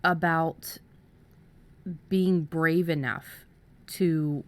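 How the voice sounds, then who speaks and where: A woman's voice: sits low, fairly even intonation, drawn-out words. A woman co-hosting a podcast, giving casual, earnest advice and often stumbling and repeating words as she goes. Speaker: podcast co-host. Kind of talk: casual conversational writing advice.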